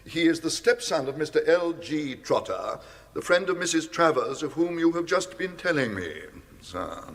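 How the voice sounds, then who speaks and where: English gentleman's valet, deferential, formal, measured, fairly high male pitch, radio comedy, long room reverb. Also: very animated. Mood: formal, composed. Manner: formal, measured, politely understated. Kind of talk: British radio comedy drama acting.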